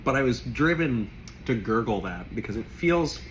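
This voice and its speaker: A man losing his voice, who rambles warmly through a casual wine tasting and keeps clearing his throat. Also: mid-range voice, animated, normal speed, a little hiss or hum, rough recording. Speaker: wine shop owner tasting wines.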